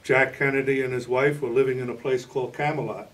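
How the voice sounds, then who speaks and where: Older man, measured, slightly distant mic. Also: mid-range voice, steady tone, fairly bright tone. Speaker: interview guest, playwright. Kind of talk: television interview, explaining. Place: indoor TV studio, green screen.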